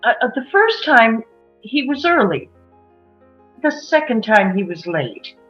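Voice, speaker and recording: A woman playing a guarded, wistful small-town woman who confides old secrets haltingly in a drama table read. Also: a little high, very animated. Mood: wistful, storytelling. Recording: muffled, music underneath.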